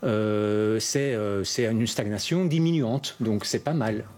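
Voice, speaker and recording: A man, a university mobility expert, explaining figures in a radio interview with many hesitations. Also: fairly low pitch. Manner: halting, many fillers, explanatory.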